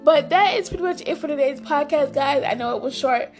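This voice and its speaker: Raspy-voiced woman hosting a chatty podcast. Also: high voice, brisk, a little mellow, faint music behind. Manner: chatty and enthusiastic, addresses listeners as "guys". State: hoarse. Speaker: podcast host. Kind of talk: casual solo podcast chat.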